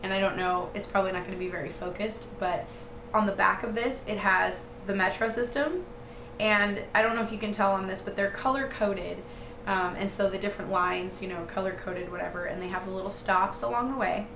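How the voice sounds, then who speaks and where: Young American woman vlogger, hoarse and croaky from a cold, rambling and casual; echoey room, noisy backdrop. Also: steady tone, a little fast. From United States. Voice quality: raspy, squeaky and croaky. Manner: rambling, lots of "like" and "um". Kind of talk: casual chatty explanation.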